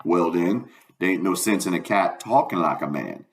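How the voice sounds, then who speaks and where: A man's voice: low-pitched, normal speed. A man voicing a stubborn, uneducated runaway in a storybook reading, with a folksy Southern US dialect and an emphatic, argumentative manner. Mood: stubborn arguing. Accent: Southern US dialect, put on. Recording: roomy, echoing room.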